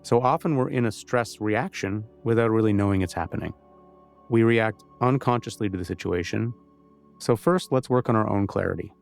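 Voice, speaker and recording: Male meditation guide, lowish and gravelly, gentle conversational delivery with pauses, over music.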